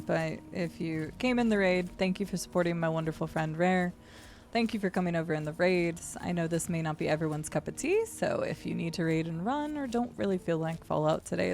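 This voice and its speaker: A young adult woman with a gravelly voice and deep vocal fry, chatting casually while streaming a game. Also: a little mellow. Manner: chatty, swears and laughs at the game. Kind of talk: casual live-stream chat while gaming. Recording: faint game music behind.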